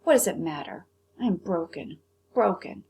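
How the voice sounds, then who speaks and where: A woman's voice: lowish voice, expressive, moderate pace, creaky, mellow, husky, heavily acted. A woman voicing the thoughts of a weary, despairing male toy rabbit in a storybook. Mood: resigned, bitter.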